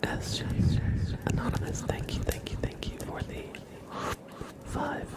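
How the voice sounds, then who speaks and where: Slow whispering man, ASMR livestreamer. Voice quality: soft breathy whisper. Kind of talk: ASMR, casual chat to live viewers.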